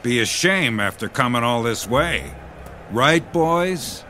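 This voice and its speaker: An actor playing a gruff, unbending fight-arena handler in a radio drama, talking blunt tough-guy slang. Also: mid-range voice, lively intonation, slow, bright, forceful tone, a little hiss or hum. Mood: curt.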